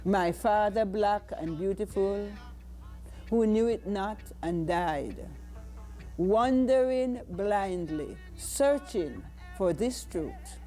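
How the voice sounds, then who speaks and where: An older Jamaican woman reciting poetry in a solemn, measured, declamatory manner. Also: medium pitch, expressive, dark tone, faint music behind. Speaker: poet.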